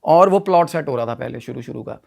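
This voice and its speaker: A man telling a story in animated conversational style, often repeating himself and mixing in English phrases. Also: medium pitch, fast talker, gravelly. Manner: repetitive, mixes Hindi with English phrases. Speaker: podcast guest telling a ghost story.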